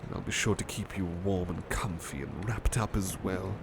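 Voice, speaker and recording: A man playing a gentle, bashful butler turned into a cuddly plush doll in an ASMR roleplay, speaking tenderly and politely with hesitant pauses. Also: low voice, creaky, background noise. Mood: sleepy, affectionate. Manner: hesitant, polite, tender, halting with soft repetitions. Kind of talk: ASMR roleplay, soothing personal attention.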